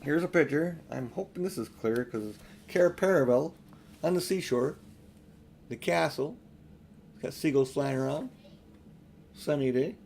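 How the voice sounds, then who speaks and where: An older man reads a children's story aloud in an expressive, unhurried, homely way, with informal asides. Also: on the high side, creaky, slight background noise. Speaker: audiobook reader.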